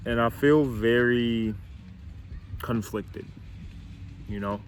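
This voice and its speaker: A man's voice: mid-range voice, slow pace, a little hiss or hum. A man about to turn twenty-one, rambling and hesitant as he thinks aloud in a casual vlog, recorded outdoors on a camera mic. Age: 20s. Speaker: vlogger. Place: outdoors near a car with a city view.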